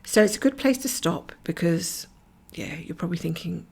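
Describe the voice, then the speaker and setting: A middle-aged woman from Scotland talks on and on as she stitches at home, breaking into soft whispers along the way. Her voice runs a little low and gravelly, and it is husky and croaky from a blocked nose. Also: lively intonation, normal speed. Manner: rambling, chatty, often drops to a whisper. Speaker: cross-stitch vlogger. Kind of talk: casual stitch-along chat. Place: at home, camera over her stitching hoop.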